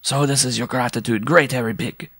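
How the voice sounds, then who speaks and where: A man doing a shrill proud little dwarf. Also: steady tone, a little fast, a bit bright, husky, no echo, clean studio sound. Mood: scolding. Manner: pompous, impassioned pleading. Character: tiny proud jealous male circus dwarf. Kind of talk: storybook reading.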